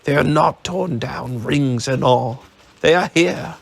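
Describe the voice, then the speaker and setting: A man voicing an overjoyed elderly miser who exclaims, laughing and crying at once, in a gravelly voice. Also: mid-range voice, lively intonation, slow, fairly bright tone, no echo, full character voice. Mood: giddy joyful.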